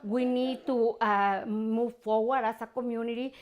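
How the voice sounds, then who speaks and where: A woman who speaks as a non-native, making a halting, unscripted appeal as an interviewed organizer. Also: on the high side, steady tone. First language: not English.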